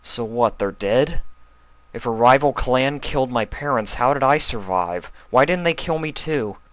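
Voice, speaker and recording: A man acts out a young dragon turned pony stallion, hurt and quick to flare up. He speaks earnestly and emotionally in a storybook-style fan fiction, with a pitch lifted above the reader's own and a close, dry sound. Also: lively intonation, fairly bright tone, no room sound. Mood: upset, demanding. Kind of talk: acted fan fiction reading.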